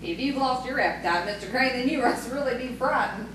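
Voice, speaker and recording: A woman's voice: a little high, a little fast, lightly acted. A woman in an audio theatre play acting a chatty, curious and forthright village woman. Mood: curious, gossipy. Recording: echoey room, distant mic, clear noise.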